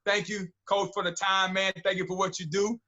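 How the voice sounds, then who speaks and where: A man's voice: sits high, level tone, fairly quick, sharp tone, dull audio. A man in his forties giving a motivational pep talk as a coach, with rhythmic repetition and direct, preacherly exhortation. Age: 40s. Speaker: guest, football coach and motivational speaker.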